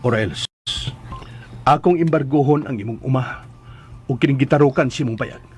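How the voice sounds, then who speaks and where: A man's voice: mid-range voice, normal speed, a little mellow, faint music behind, close to an everyday voice. A man with a Cebuano accent playing a cold, domineering rich debt collector in a radio drama, curt and menacing. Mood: cold threat. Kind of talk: Philippine radio drama acting.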